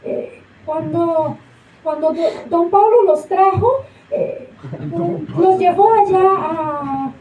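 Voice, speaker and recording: A woman playing a widowed female hippo who is a grieving young mother and speaks haltingly with many fillers, acted live on a theater stage. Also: very high-pitched, narrow, tinny audio, slight background noise. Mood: hesitant. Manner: hesitant, halting, full of fillers. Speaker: interviewee on a radio show. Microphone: far camera. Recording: roomy stage from far off.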